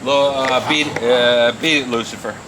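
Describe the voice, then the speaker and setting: A man playing a blunt, suspicious husband in a radio play, with halting delivery. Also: medium pitch, steady tone, heavy background noise, close to an everyday voice. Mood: wary, blunt. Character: gruff, suspicious husband with a guard dog. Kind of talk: amateur radio play acting.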